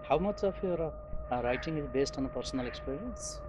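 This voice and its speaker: A man, interviewing a writer with halting, hesitant questions full of fillers. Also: medium pitch, animated. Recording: dull lo-fi, noisy ambience.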